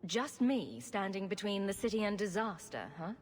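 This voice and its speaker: An actress in a video game playing a self-assured rogue hero with a dry, wry, sarcastic manner. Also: female voice, medium pitch, sing-song, normal speed, a little mellow, lightly acted. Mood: wry, concerned.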